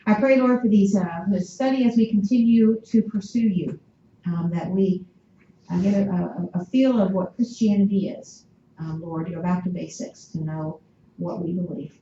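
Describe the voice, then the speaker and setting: A woman leading an earnest spoken prayer, pausing often and using many fillers. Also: on the low side, mellow, a little hiss or hum. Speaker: group member leading prayer. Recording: dull echoey room recording.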